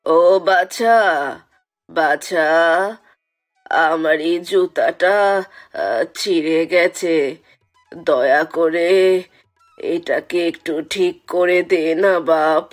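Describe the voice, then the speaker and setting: A woman voicing a frail elderly village woman in a fairy-tale cartoon, pleading in halting, drawn-out phrases with sighs. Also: on the low side, soft background music, voice pushed down. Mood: weary pleading. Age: older.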